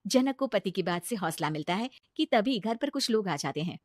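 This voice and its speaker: A woman's voice: mid-range voice, lively intonation, normal speed, no room sound, studio quality. A woman narrating a scripted family story as its storyteller.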